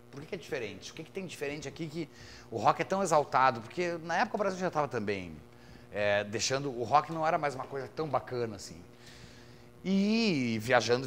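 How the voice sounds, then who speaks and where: A man in his thirties with a gaúcho Brazilian accent, a musician guest chatting on a podcast in a relaxed, rambling way, full of casual fillers. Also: mid-range voice, slight background noise. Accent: gaúcho Brazilian Portuguese. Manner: relaxed, rambling, with frequent fillers like "cara" and "saca". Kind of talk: casual conversational storytelling.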